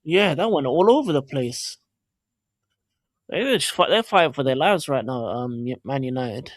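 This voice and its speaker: A man with a mid-range voice chats on a football stream in a dry, close recording. He mostly gives short replies agreeing with the host. His tone is relaxed and casual. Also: mid-range voice, normal speed, dry recording. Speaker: stream guest. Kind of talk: casual chat.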